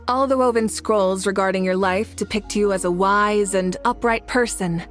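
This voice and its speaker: A woman voicing a composed female fire goddess who leads her nation, calm, polite and measured in a video game cutscene. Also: mid-range voice, natural voice. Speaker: leader. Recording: game audio with faint music.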